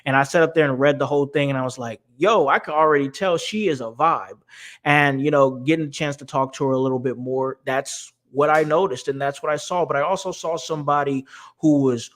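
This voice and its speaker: A man with a fairly high voice hosting a wrestling talk show. He rambles in a loose, friendly way, circling back on his words and leaning on fillers as he goes. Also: brisk, a bit bright. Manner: rambling, many restarts and fillers. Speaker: podcast host. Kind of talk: casual livestream chat.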